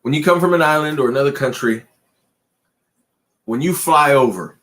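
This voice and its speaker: A man co-hosting a casual late-night chat show, talking in a loose, rambling way and pushing his pitch up and down for emphasis. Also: normal speed, fairly bright tone. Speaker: podcast co-host.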